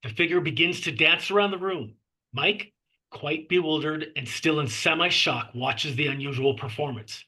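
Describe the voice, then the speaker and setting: Male narrator, fairly high pitch, forceful bright tone, raspy edge, scripted stage directions. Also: moderate pace, rough recording.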